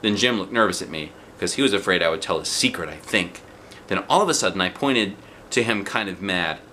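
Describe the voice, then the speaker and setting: A man reading a children's book aloud with expressive narration. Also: low-pitched, a little fast, slight background noise. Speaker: book reader.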